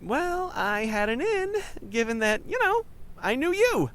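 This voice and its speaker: A woman playing an eager, nervous, good-natured young office newcomer in a comedy audio drama, hesitant and excitable. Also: sits high, unhurried, exaggerated voice. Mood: nervously upbeat.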